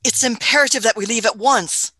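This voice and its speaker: Woman playing eccentric elderly lady adventurer, bright voice, smug, fussy, muttering, radio play, close dry sound. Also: on the high side, a little fast, breathy edge, dry recording. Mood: grave musing. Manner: hesitant, muttering, chuckling old-fashioned speech. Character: elderly eccentric female time traveler, smug, fussy, affectionate.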